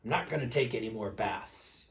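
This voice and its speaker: A man's voice: on the low side, expressive, moderate pace, a little hiss or hum, voice pushed down. A man voicing a big, grumpy, stubborn male bear from a storybook, speaking emphatically. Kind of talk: storybook read-aloud character voice.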